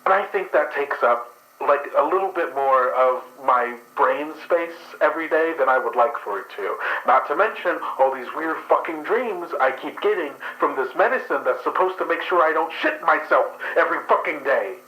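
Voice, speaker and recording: A man's voice: a little high, expressive, moderate pace, pushed and bright, roomy sound, rough recording. A man voicing a crude, irritable man with skunk traits in an actual play podcast, talking in a profane, sardonic way.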